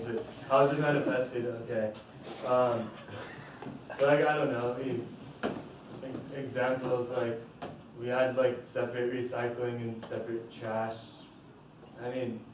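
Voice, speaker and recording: Rambling young panelist in echoey hall. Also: male voice, medium pitch. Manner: rambling, self-deprecating, many fillers. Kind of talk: casual chat. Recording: echoey hall from far off, noisy.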